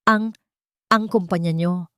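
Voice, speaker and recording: A woman's voice: on the low side, fairly even intonation, slow, a bit bright, clear, resonant tone, no echo, clean studio sound. A woman voicing a proud, wounded young working man in a dramatic story, guarded and strained. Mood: stunned hurt.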